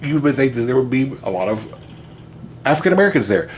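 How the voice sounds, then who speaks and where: A man's voice: medium pitch, sing-song, quick pace, a little hiss or hum. A man from West Texas, a podcast guest, telling stories in casual chat with many filler sounds and restarts. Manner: rambling storyteller, many filler "uh"s.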